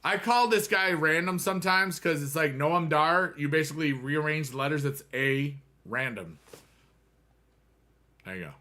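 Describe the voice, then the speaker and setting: A man's voice: on the high side, moderate pace, bright, forceful tone. An American man in his thirties chatting casually with viewers on a game stream, rambling and upbeat with playful rhymes.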